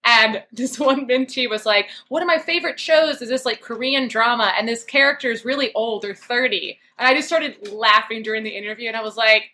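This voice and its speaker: A woman of thirty, an investigative reporter as podcast guest, talking casually with wry, dark humor. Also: fairly high pitch, fairly quick, hardly any pauses. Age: 30s. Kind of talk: casual interview chat.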